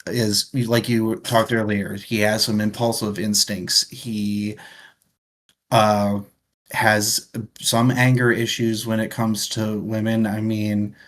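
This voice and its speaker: Male book club co-host with a lowish voice, conversational, offering analysis in an informal chat. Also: flat delivery, slow pace. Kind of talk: casual book discussion.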